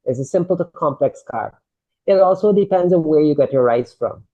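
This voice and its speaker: A man's voice: on the high side, expressive, brisk, warm tone, muffled recording. A man from Guyana with a Guyanese accent, a doctor explaining diet in a casual health talk and often checking in with "right?". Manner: explanatory, tags statements with "right?". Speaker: sports medicine doctor, guest.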